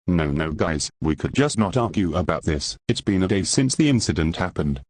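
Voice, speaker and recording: A man's voice: deep voice, expressive, normal speed, dry recording. A man playing a placating peacemaker among survivors in an amateur disaster drama. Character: reasoning man trying to calm an argument.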